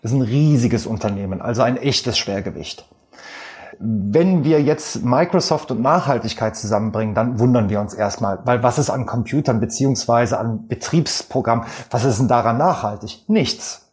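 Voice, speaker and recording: Measured man with a Rhineland lilt. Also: medium pitch, darkish tone. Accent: Rhineland German. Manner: measured, explanatory, with frequent fillers. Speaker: investment expert guest. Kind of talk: explanatory interview answers.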